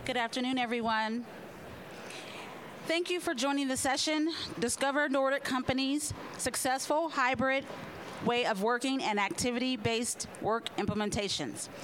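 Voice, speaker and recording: A woman's voice: high voice, normal speed, fairly bright tone, faint music behind. A woman formally introducing a conference session and its speaker in a polished, scripted way. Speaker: sponsor representative introducing speaker.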